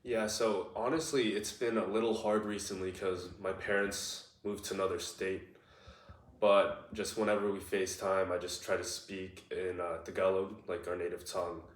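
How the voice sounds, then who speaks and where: Young guy with a deep voice, chill, says like a lot, sounds a bit far off in an echoey room. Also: normal speed. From United States. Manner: relaxed, lots of "like" and "uh" fillers. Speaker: interviewee, nutrition student. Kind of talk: casual interview answers.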